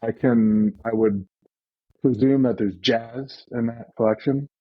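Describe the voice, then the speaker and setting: A husky man in his forties talks in loose, casual chat, circling back with "like" and "you know" as he tells his story. The sound is a little dull and muffled. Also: medium pitch, steady tone, unhurried. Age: 40s. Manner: rambling storyteller, many fillers and restarts. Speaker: podcast guest.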